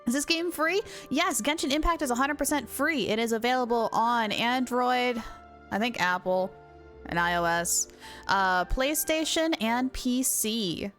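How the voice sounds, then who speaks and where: Bubbly, high-voiced woman streamer. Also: a little slow, fairly bright tone, faint music behind. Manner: bubbly, giggly, chatty with viewers. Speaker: live streamer. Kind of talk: casual game stream chat.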